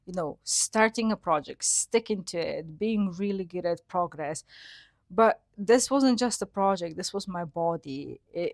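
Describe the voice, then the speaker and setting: Lively low-voiced woman from Slovenia. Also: dry recording. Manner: lively, laughs often, swings in pitch. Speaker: guest nutrition specialist. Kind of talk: interview storytelling.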